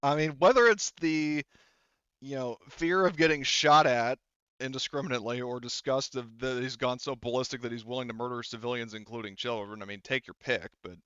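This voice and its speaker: A man narrating as game master in a casual, halting, informal way, thinking aloud as he goes. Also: mid-range voice, fairly even intonation, fairly bright tone, clean tone, no room sound, dull audio.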